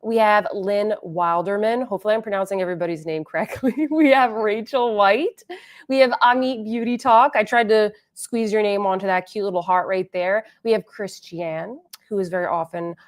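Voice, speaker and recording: A woman's voice: medium pitch, normal speed. A woman hosting a beauty livestream, chatting casually with viewers and reading their comments aloud, a little congested and sniffly. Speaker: beauty channel host.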